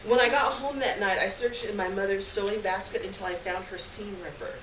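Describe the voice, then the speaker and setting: A woman giving an expressive live reading of a short story on stage. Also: mid-range voice, brisk. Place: indoor stage reading before a live audience. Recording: echoey, noisy live room, distant mic.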